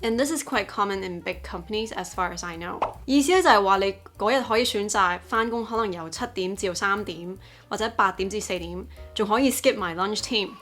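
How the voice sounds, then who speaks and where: A woman's voice: mid-range voice, fairly quick, hardly any pauses, fairly bright tone. Young Hong Kong woman, vlog narration over music. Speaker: host, English teaching YouTuber. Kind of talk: vlog narration mixing Cantonese and English.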